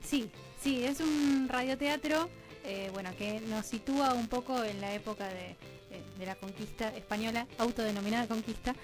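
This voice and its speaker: A woman with an Argentine accent explaining things conversationally as an interview guest, halting and correcting herself as she goes. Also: a little high, rough recording. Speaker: interview guest, radio drama scriptwriter. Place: radio studio. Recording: music clearly playing behind voice.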